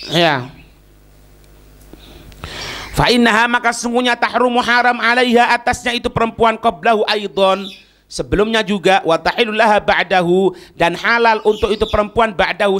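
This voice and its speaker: A man's voice: sits high, bright, forceful tone. A male preacher gives a religious lesson. He explains in short emphatic phrases, asking questions and answering them like a teacher. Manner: didactic, emphatic, asks and answers own questions. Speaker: Islamic preacher.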